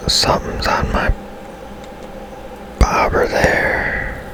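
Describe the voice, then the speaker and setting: A man whispering softly and casually as he gives rambling, unhurried commentary on a video game for ASMR. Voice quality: soft unvoiced whisper. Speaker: game streamer.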